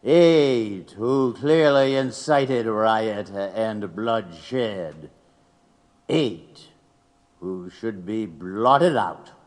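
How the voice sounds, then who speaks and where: A man playing a righteous, insinuating prosecutor in an 1880s courtroom audio drama, speaking in deliberate, leading oratory. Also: fairly low pitch, a little mellow. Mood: grave, accusing. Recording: long hall-like reverb.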